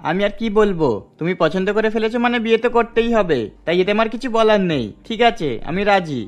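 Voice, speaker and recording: Guy doing dutiful young cartoon son, high male voice, talks fast, agreeable. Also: animated, faint music behind. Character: obedient young son, resigned to mother's choice. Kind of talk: scripted cartoon dialogue.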